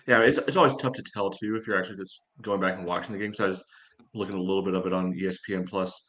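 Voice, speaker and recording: A man with a very low voice co-hosts a casual sports podcast. His delivery is flat and measured, hedged with frequent filler phrases. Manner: measured, hedging, peppered with "you know".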